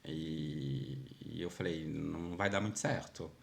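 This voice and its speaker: A man's voice: very deep voice, a little slow, gravelly. A man from Minas Gerais with a Brazilian Portuguese accent, a podcast guest chatting casually and animatedly, full of fillers. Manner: animated storyteller, many fillers like "tipo" and "sabe". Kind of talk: casual interview chat.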